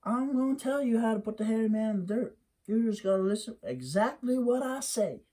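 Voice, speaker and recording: A man's voice: sits high, expressive, a little fast, mellow, voice pushed up. A man voicing a stern old country mother who scolds with a firm drawl.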